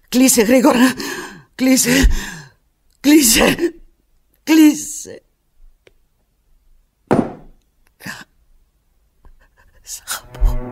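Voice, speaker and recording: An actress playing an anguished, lovelorn woman pleading with her departing lover in a halting, volatile radio theatre monologue. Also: medium pitch, a little mellow. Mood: anguished farewell.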